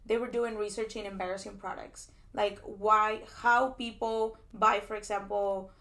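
A young woman from Peru tells her story in a casual interview, her pitch staying level and even throughout. She is chatty and loose, full of restarts and "like"s. It sounds like a recording made at a conference. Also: measured pace. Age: young adult. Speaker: PhD student guest. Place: conference venue.

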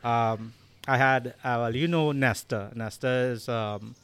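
A man's voice: medium pitch, unhurried, a bit bright, clean tone, no echo. A man with a Trinidadian accent hosting a podcast, telling a story with many fillers and restarts. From Trinidad and Tobago. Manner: storytelling, hesitant with fillers and repeats. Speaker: podcast host and Rottweiler breeder. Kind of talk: casual conversation.

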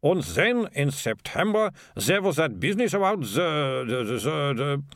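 A man's voice: on the high side, sing-song, measured pace, a bit bright, dry recording, clean studio sound, pitched above the performer's own voice, exaggerated voice. A man voicing a pompous, flustered small-town mayor who blusters in a fussy, long-winded way in a storybook reading. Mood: exasperated.

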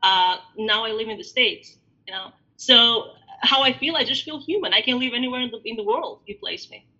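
A woman in her thirties from Albania, a podcast guest telling her story in a reflective, halting way with many fillers. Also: on the high side, bright, forceful tone. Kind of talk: podcast interview. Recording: dull lo-fi audio.

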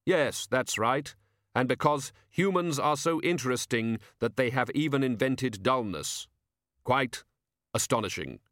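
A man voicing Death, a grave figure who speaks slowly and formally. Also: mid-range voice, expressive, a bit bright, no echo, clean studio sound. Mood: measured. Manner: slow, measured, formal. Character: Death, grave solemn skeletal male figure.

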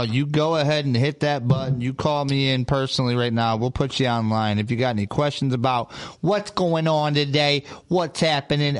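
A man hosting a casual livestream talk show, swearing often and bantering with his co-hosts. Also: mid-range voice. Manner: profane, animated, bantering. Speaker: podcast host.

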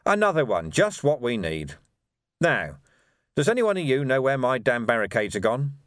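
A male narrator puts on a gruff police sergeant from a comic novel. He is weary and dry, delivering blunt, pragmatic lines with sardonic stresses that rise and fall widely. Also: medium pitch, very animated, normal speed, fairly bright tone, no room sound. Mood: sarcastic.

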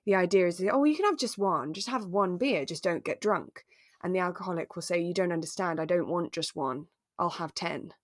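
A woman co-hosting a podcast, talking through a drama in a relaxed, thoughtful, explanatory way. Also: fairly low pitch, fairly quick, no room sound, clean studio sound. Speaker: podcast co-host. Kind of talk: relaxed conversational discussion of a radio drama.

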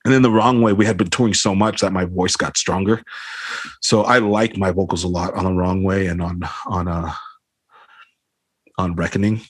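A man's voice: sits low, fairly even intonation, normal speed, breathy edge, no echo. A man, a band frontman, talking casually in an interview over a video call, rambling with lots of fillers and swearing. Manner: rambling, swears a lot, many fillers like "you know what I mean".

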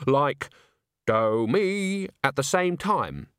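Shy, stubborn young woman, raised high and falsetto-like, dry close read; man voicing her. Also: expressive, drawn-out words, a bit bright, clear, resonant tone, dry recording, full character voice. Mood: hesitant. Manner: hesitant, then snappish.

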